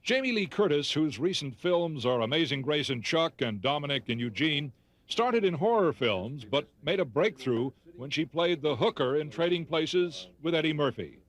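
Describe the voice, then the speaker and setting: A man's voice: a little high, lively intonation. A man reading a polished scripted introduction as a TV news anchor. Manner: brisk, polished read.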